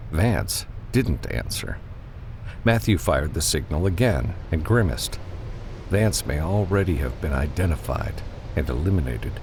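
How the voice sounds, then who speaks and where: A man's voice: extremely low pitch, gravelly, background noise. A man reading scripted fiction as an audiobook narrator.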